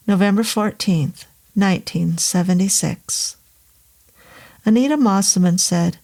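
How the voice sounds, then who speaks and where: A woman reading a horror novel aloud as narrator, in a measured, even way. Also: on the low side, creaky. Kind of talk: audiobook narration.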